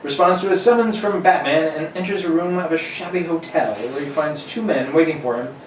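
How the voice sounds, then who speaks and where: A man's voice: on the high side, a little fast, natural voice. Man as dramatic old radio narrator, echoey. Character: dramatic, emphatic 1940s superhero serial narrator. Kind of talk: 1940s radio acting, amateur. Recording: echoey room, distant mic, noisy.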